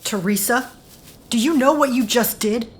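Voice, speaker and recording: A fairly high female voice acted by a woman plays an indignant, disillusioned friend in an audio drama. She is blunt, bitter and accusing in her delivery. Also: moderate pace, a little hiss or hum, close to an everyday voice. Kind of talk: scripted audio drama acting.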